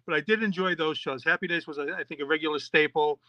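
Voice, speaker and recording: A man's voice: fairly high pitch, fast, no echo, muffled recording. A middle-aged man from New York, a podcast host and children's author, answering interview questions in a rambling, chatty way with many fillers and restarts.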